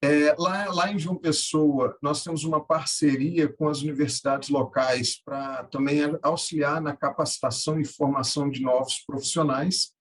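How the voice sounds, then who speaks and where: A man, answering interview questions conversationally and haltingly, often repeating words. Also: on the high side, fairly even intonation. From Minas Gerais, Brazil. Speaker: podcast guest, tech founder and angel investor.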